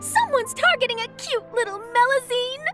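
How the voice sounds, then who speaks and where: Very high-pitched, perky young reporter. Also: female voice. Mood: eager, confiding. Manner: brisk, expressive, confiding. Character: perky, inquisitive young female newspaper reporter. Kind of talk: video game voice acting. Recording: music behind the voice. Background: game music.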